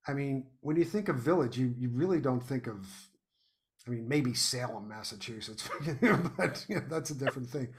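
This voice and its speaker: Thoughtful American man, halting in conversation. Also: medium pitch, animated. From United States. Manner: thoughtful, halting with repeated words. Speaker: guest playwright and podcast host. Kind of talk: casual interview chat.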